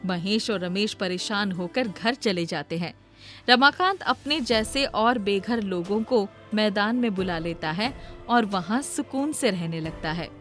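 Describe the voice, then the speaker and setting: A woman's voice: mid-range voice, unhurried, a bit bright. A woman reading scripted moral-story narration as the storyteller. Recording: music behind the voice.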